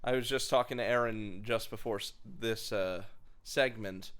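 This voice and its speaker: A man with a smooth, clear voice pitched a bit low. He chats informally as a podcast panelist, giving emphatic film opinions and often halting mid-phrase. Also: a bit bright. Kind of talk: casual film review chat.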